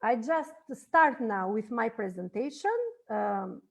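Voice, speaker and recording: A woman, an English speaker, presenting a project in an online info session and pausing often to search for words. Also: on the high side, animated, vocal fry. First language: Albanian. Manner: halting, searching for words in a second language. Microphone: video call.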